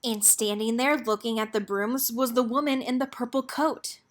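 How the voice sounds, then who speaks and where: A woman reading a children's picture book aloud as an expressive storyteller. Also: a little high, moderate pace.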